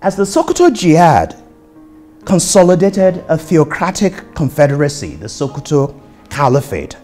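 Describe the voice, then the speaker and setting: A man reading scholarly prose aloud as an author, with deliberate, emphatic phrasing. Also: fairly high pitch, a little mellow.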